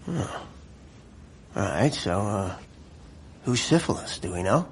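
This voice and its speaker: A man's voice: lowish voice, very animated, takes it slowly, exaggerated voice. An actor playing a blunt, blustering middle-aged American general in a radio drama, folksy and profane, tripping over his words. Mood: exasperated. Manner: stammering, exasperated, profane, folksy.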